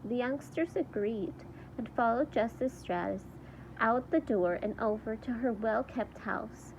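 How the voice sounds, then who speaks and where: A woman reading a children's book aloud in an expressive storytelling style. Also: a little high, unhurried, slight background noise, poor audio. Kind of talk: read-aloud storybook narration with character voices.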